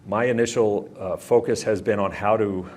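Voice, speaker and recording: Low-pitched male official. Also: fairly even intonation, normal speed, a little mellow, slight background noise.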